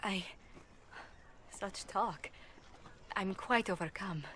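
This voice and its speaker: An actress playing a gentle, earnest noblewoman ambassador in a video game, speaking politely and formally with careful wording. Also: medium pitch, very slow, slight background noise. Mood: flustered. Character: poised, courteous noblewoman ambassador, former bard, gentle and earnest. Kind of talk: video game cutscene acting.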